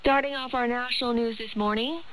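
A woman's voice: on the high side, steady tone, fairly quick, loud music behind the voice, poor audio. A woman radio presenter reading scripted news on a shortwave broadcast. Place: radio studio, heard over shortwave.

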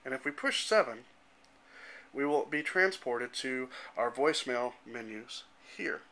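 Bright-voiced man giving a tech demo. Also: medium pitch, lively intonation, measured pace. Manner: explanatory, matter-of-fact. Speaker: software developer presenting a demo. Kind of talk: informal product demonstration narration. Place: indoor room at home. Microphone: handheld camera microphone.

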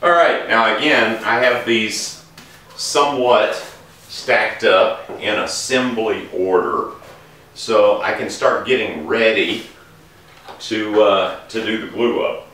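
A middle-aged man explaining woodworking steps in a relaxed, casual way while he works in a large indoor workshop. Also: fairly low pitch, slow pace, a little hiss or hum. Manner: relaxed, explains each step while working. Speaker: woodworking instructor. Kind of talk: casual demonstration while working. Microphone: camera mic from a distance. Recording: echoey workshop, distant mic.

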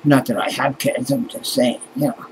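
Fifties man from Canada, tired rambling home vlog on a webcam, swears and sighs, soft hum of air conditioning. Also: mid-range voice, little pitch movement, normal speed. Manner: mumbling, sighing, swears often, trails off. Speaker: vlogger. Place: small cluttered room indoors at night. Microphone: webcam close to face.

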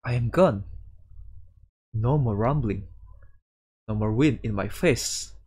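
A man reading a visual novel aloud as a streamer, with casual commentary and halting delivery. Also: mid-range voice, mellow, husky. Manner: halting delivery, sprinkling in Japanese words. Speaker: VTuber streamer.

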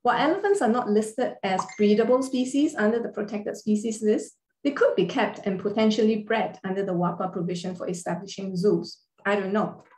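A woman lectures over a video call, at a middling pitch and a measured pace, explaining legal points step by step. Her voice carries a roomy echo from a small room. She pauses now and then with small fillers as she reads through her slides. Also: a little mellow. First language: not Thai.

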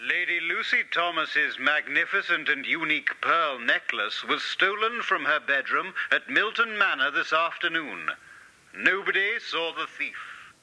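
A radio news announcer in a children's audio drama, heard through a radio broadcast. His voice is very bright and sharp, with a formal newsreader delivery. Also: on the high side, animated, unhurried, exaggerated voice, poor audio.

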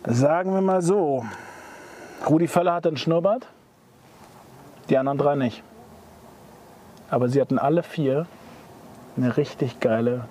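A man's voice: a little high, unhurried, long pauses, dark tone, slight background noise. A man hosting a quiz while driving a car, teasing and jokey, drawing out his announcements.

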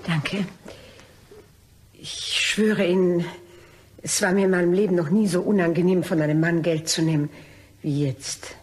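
A woman playing a polite, desperate blackmailer in a German crime radio play, coy and hesitant, with a slightly husky voice. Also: fairly low pitch, fairly even intonation, measured pace, pauses often, a little mellow. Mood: embarrassed, regretful. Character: polite, desperate female blackmailer, probing and apologetic.

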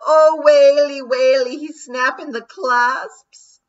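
A woman voicing a terrified little male pixie with a put-on Scottish accent, wailing high and drawn-out. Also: fairly even intonation. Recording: dull lo-fi recording.

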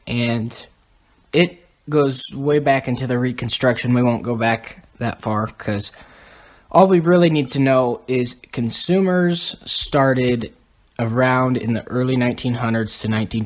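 A young man co-hosting a podcast, explaining history in a casual, lecturing way with many ums. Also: mid-range voice. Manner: lecturing, lists items, frequent ums. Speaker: podcast co-host.

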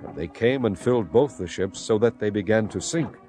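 A man narrating dramatized scripture as a solemn, measured storyteller. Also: on the low side, lively intonation, warm tone. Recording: clear music bed behind narration. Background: music.

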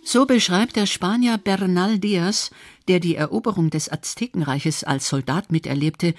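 A man's voice: fairly high pitch, no room sound, studio quality. A man narrating a documentary from a script as its narrator.

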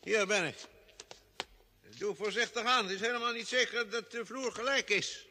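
An actor playing a cautious, calming doctor on a space crew in a vintage Dutch radio drama. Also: male voice, high-pitched, normal speed, exaggerated voice. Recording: old radio recording, long reverb.

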